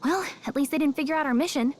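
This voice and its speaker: An actress voicing a tiny, feisty fairy companion in a fantasy video game, chatty and cheeky. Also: female voice, sits high, fast, a little hiss or hum, natural voice. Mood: concerned, wry.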